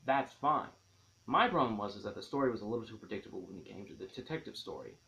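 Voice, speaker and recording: A man's voice: lowish voice, expressive, quick pace, gravelly, pushed and bright. A man hosting an enthusiastic movie review, leaning on key words with dramatic, emphatic stresses. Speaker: film reviewer and host. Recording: dull, lo-fi sound.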